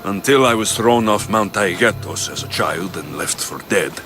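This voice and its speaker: A man's voice: lowish voice, moderate pace, fairly bright tone, faint music behind, natural voice. A man playing a blunt, confident young mercenary in dramatic video game dialogue. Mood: grave, determined. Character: blunt, confident male Spartan-born mercenary.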